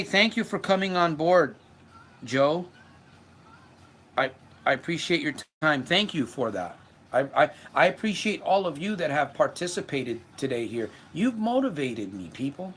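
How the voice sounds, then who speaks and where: A man's voice: a little high, fairly bright tone. A man in his fifties from Puerto Rico, giving an earnest self-help livestream talk and answering viewer comments, often repeating words as he goes. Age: 50s.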